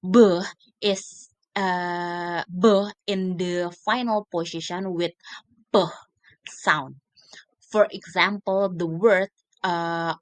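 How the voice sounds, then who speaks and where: A young woman with an Indonesian accent, a native Indonesian speaker, giving a scripted student presentation in careful, halting, hesitant English. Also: on the low side, no room sound. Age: young adult. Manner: halting, careful word-by-word delivery with frequent hesitations.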